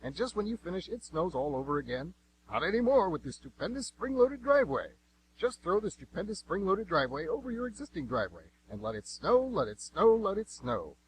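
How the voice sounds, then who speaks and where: Zealous male infomercial huckster, acted, rapid parody radio ad, hum and noise behind. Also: high-pitched, sing-song. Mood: excited selling. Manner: hard-selling, exclamatory. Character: zealous over-excited infomercial pitchman. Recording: noisy home recording with hum.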